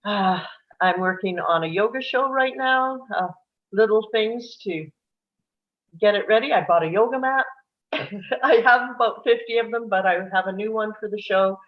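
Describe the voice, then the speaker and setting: A woman's voice: mid-range voice, normal speed. An older woman who acts, introducing herself casually. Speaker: actress, reader of action descriptions. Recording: dull, muffled video call audio.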